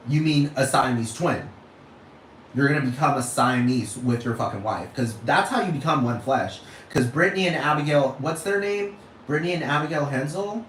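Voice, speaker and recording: A man's voice: mid-range voice, animated, moderate pace, a bit bright, slight background noise. Rambling Puerto Rican man ranting solo. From Puerto Rico, raised in Texas. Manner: rambling, profane, keeps asking "do you understand". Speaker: podcast host. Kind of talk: solo podcast rant. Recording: roomy room with faint ambience.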